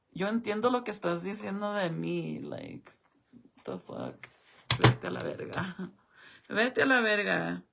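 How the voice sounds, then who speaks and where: A woman's voice: on the low side, creaky, fairly bright tone. A woman streamer in casual chat with her viewers, blunt and chatty, swearing freely, whose first language is Spanish. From American Southwest. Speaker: live streamer.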